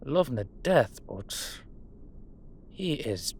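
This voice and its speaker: A man playing a gruff but fond Irish dullahan in a roleplay audio drama, speaking in a colloquial, hesitant, sweary way. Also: medium pitch, sing-song, slow pace, heavily acted. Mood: fond, reassuring.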